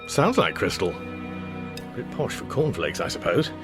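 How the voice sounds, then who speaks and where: A man's voice: lowish voice, huge pitch swings, a little slow, over music. An actor playing a mild, bemused man muttering wryly to himself in a radio drama monologue. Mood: musing. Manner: wry, musing, muttering to himself.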